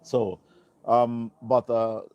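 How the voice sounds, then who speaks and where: A man's voice: medium pitch, level tone, drawn-out words, darkish tone, clear, resonant tone. A man hosting an online TV talk, speaking casually into a phone and earphone mic with lots of ums and restarts. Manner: rambling, many ums and restarts. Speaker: online TV host. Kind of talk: casual livestream commentary. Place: outdoors in Germany. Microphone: mobile phone with earphone mic.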